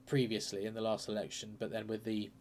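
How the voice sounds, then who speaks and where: A man hosting a political channel, talking casually and hesitantly through by-election numbers, his voice a bit croaky from a cold. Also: fairly low pitch, little pitch movement, fast talker, a little mellow, slight background noise. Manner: chatty, hesitant, lots of ums and uhs. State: croaky with a sore throat and cold. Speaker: political commentary channel host.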